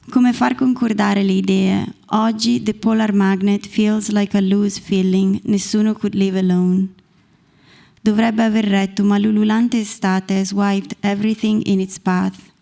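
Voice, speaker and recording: Brief: Italian-accented woman in her thirties, poet reading measured verse, warm low tone with some fry. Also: flat delivery. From Italy. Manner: measured, deliberate reading from a page. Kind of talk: slow poetry reading. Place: small stage in a dark performance venue. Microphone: stand mic close to the mouth.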